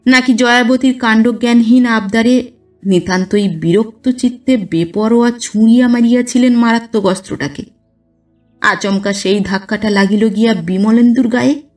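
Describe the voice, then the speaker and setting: A woman narrates a classic story in a fairly high voice. Her delivery is measured yet expressive, shaped as scripted literary storytelling. She sounds a little away from the microphone, with music playing behind her. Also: distant mic. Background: background music.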